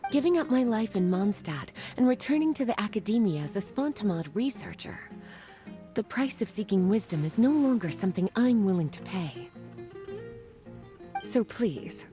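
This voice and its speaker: An actress voicing a calm, lightly teasing female librarian and mage. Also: medium pitch, lightly acted. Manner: cool, languid, lightly teasing.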